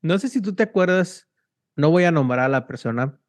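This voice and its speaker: A young man from Mexico, hosting a casual interview and telling stories in a slangy, animated way. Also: a little high, a little fast, dry recording. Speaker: podcast host.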